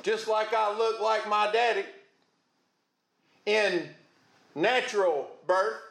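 A man preaching a sermon in a booming voice, folksy and calling out to the congregation. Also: steady tone, takes it slowly, vocal fry, a bit bright, reverberant. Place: church.

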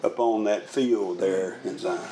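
A man's voice: sits low, a little slow, a loud crowd. A man preaching to a congregation in a folksy, conversational storytelling way, repeating phrases for emphasis. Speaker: Primitive Baptist preacher and pastor. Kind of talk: sermon to a congregation. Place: indoors, church meeting room. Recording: roomy, echoing meeting-hall sound.

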